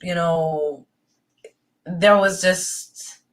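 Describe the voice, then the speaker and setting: Young woman, reflective and meandering, slightly low voice. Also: steady tone, extremely slow. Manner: thoughtful, rambling, heavy on fillers like "like" and "you know". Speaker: spiritual coaching guest or host. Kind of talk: reflective personal talk.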